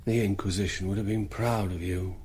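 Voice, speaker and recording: A proud, uneasy German army colonel in a radio drama. The voice is fairly low and husky. He speaks formally and carefully, dutiful but guarded. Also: fairly even intonation, brisk, darkish tone. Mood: bitter, proud. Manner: formal, guarded, deferential yet firm. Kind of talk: broadcast radio drama acting.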